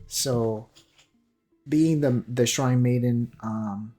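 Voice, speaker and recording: Guy doing game commentary, mid-range voice, thinking out loud and explaining, bit of music behind. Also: medium pitch, drawn-out words. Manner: musing, tentative, explanatory. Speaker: gaming commentator. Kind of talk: unscripted video commentary on a game trailer. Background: faint music.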